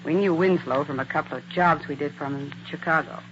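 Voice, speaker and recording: A woman's voice: low voice, fast, natural voice. An actress playing a cool, calculating young female impostor in a 1940s radio drama. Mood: cool, unrepentant. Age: young adult. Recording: muffled, hissy old radio recording.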